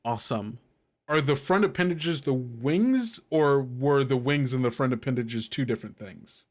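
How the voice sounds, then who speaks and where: A man's voice: mid-range voice, expressive, moderate pace. A man acting as game master, mixing improvised narration with informal table talk and talking the rules through as he goes.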